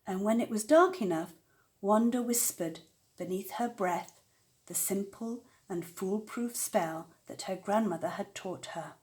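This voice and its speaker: Older woman, children's storyteller, expressive narration, unhurried pace, medium pitch. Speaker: storyteller, grandmother reading aloud.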